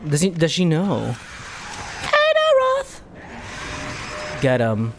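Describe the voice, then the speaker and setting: A streamer giving casual, excitable live gaming commentary, chatting to viewers and often repeating words and phrases. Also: mid-range voice, slow pace, very noisy. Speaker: streamer playing a game for a live chat.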